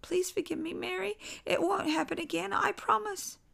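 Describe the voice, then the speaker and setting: Casting: female narrator as desperate father in a child's body, high-pitched, wailing and pleading, for a dark audio story. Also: steady tone, moderate pace, vocal fry, exaggerated voice. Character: panicked pleading father trapped in his young daughter's body.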